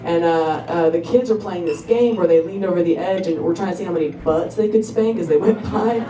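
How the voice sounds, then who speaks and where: A young woman performing a stand-up comedy set with a laid-back, halting delivery full of ums. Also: fairly low pitch, warm tone. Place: comedy club stage. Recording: roomy club recording from a distance, crowd noise. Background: audience laughter.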